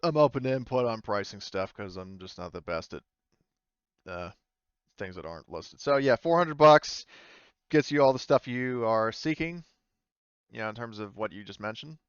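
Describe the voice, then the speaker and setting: A man's voice: lowish voice, animated, normal speed, clean tone, dry recording, muffled recording. A man narrating an improvised tabletop game as game master, tentative and often thinking aloud. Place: indoors, online voice call.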